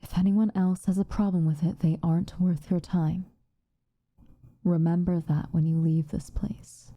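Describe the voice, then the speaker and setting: Woman playing a hushed, dark-voiced, stern but caring witch. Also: lowish voice, little pitch movement, dry recording. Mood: gently reassuring. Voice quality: soft, hushed, often breathy whisper. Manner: measured, formal, gently commanding. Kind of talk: ASMR roleplay.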